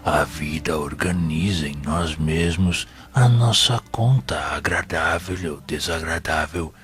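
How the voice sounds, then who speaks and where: Paternal spiritual mentor, Brazilian accent, low-pitched, unhurried, grave, didactic, audio drama. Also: male voice, fairly bright tone, faint music behind, full character voice. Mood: solemn, paternal. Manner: grave, didactic, formal oratory. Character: wise, paternal, solemn male spiritual instructor. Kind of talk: audio drama sermon-like monologue.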